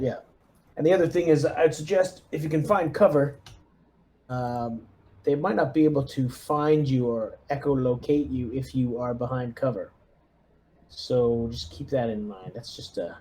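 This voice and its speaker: A man's voice: medium pitch, lively intonation, measured pace, warm tone, slight background noise. A man playing a tabletop roleplaying game, talking casually through ideas and thinking aloud with hesitations. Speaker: tabletop player playing a military lieutenant.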